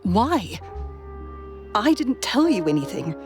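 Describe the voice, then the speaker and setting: A guarded, exasperated young woman in an anime game. Her pitch moves freely, with clear music playing behind her. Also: big pitch swings, slow pace. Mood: alarmed.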